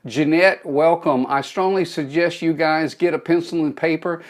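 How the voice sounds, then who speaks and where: A man's voice: a little high, fairly even intonation, normal speed. A middle-aged man with a Cajun accent, hosting a live phone stream, giving a folksy motivational talk and greeting viewers by name. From South Louisiana.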